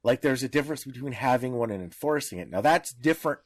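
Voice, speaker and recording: A man's voice: mid-range voice, expressive, fast talker, no room sound, clean studio sound. A man speaking as a podcast panelist in informal discussion, explaining in a didactic way with examples.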